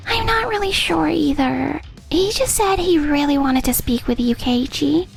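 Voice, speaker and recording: A woman as a very high, timid office girl. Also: animated, unhurried, gravelly, over music, heavily acted. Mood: timid, surprised. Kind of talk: English manga dub acting.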